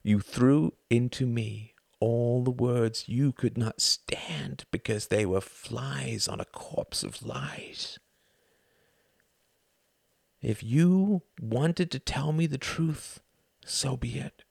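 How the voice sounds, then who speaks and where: A man's voice: mid-range voice, slow pace, vocal fry, dry recording, clean studio sound, pitched above the performer's own voice. A man voicing a raging, desperate young son. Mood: bitter accusing. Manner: shouting, raging, pleading. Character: furious desperate young man confronting his mother. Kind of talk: audiobook character voice.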